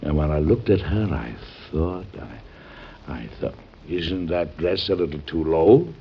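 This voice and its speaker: A man's voice: very deep voice, expressive, a little slow, slight background noise, heavily acted. An actor playing a fussy, overprotective father who is an ailing President, stern and fretful, in old-time radio drama style. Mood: softened, hesitant. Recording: dull lo-fi old radio recording.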